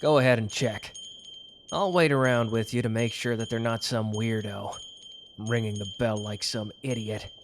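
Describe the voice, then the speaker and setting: A man's voice: medium pitch, steady tone, normal speed, noisy background, close to an everyday voice. A man playing a protective, devoted mafia lover with a hot temper, speaking earnestly in an intimate romantic audio drama.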